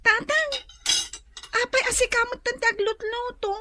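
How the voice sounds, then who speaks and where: A woman's voice: very high voice, moderate pace, pushed and bright. A woman with an Ilocano accent playing an innocent, curious little daughter in a radio drama.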